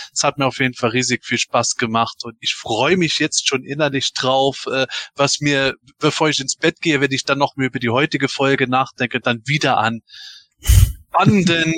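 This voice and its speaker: A man's voice: mid-range voice, pushed and bright, no echo. A man chatting casually and effusively as a panelist on a fan podcast, speaking with emphasis.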